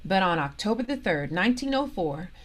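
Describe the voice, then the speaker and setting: A woman reading a children's storybook aloud in an expressive, deliberate way, pausing for emphasis. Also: mid-range voice. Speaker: storybook reader, organisation president.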